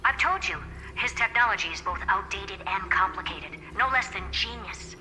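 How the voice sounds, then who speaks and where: An actress playing a brisk, haughty, self-assured genius woman in a video game, smug and blunt in her delivery. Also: medium pitch, animated, pushed and bright, in a large hall, soft background music, low-quality recording. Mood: confident, dismissive. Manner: smug, blunt, matter-of-fact.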